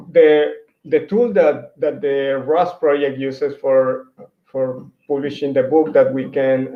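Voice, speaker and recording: Hesitant man. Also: mid-range voice. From Mexico. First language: Spanish. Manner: hesitant, many restarts and fillers. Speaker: conference speaker. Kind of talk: virtual tech talk with slides. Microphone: computer mic on a video call. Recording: roomy, dull lo-fi sound.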